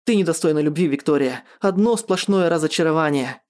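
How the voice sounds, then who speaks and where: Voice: mid-range voice, animated, brisk, darkish tone, close to an everyday voice. A narrator acts out a jilted man in an audiobook drama. He is bitter and scornful, sneering and growling as he demands answers and flings insults.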